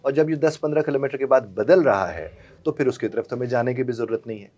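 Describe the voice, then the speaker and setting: A middle-aged man, a guest explaining communication and in a formal, courteous, measured way. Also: medium pitch, darkish tone, lo-fi sound. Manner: formal and courteous, using polite honorifics. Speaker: guest, language and communication trainer.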